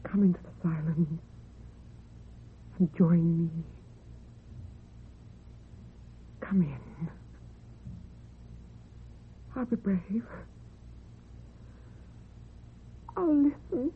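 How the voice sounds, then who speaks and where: A woman's voice: fairly low pitch, very animated, slow, pauses often. An actress playing a lonely, frightened, ageing woman in a theatrical, tremulous old-time radio horror style. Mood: desperate, defiant. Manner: theatrical, tremulous, talking to herself. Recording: dull vintage radio recording, faint hiss.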